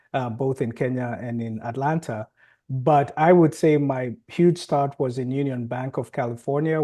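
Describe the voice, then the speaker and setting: A man presents to a webinar audience over a video call. His voice is warm and mellow, and he explains things in a measured, steady way. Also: medium pitch. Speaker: webinar guest speaker.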